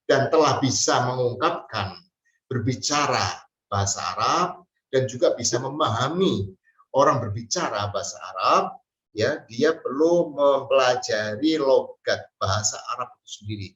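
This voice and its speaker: A man from Central Java, giving an Arabic language lesson slowly and hesitantly, with many fillers. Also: mid-range voice, fairly bright tone. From Central Java, Indonesia. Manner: slow, hesitant, with many fillers and drawn-out pauses. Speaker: Arabic teacher. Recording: distant mic, roomy and dull sound.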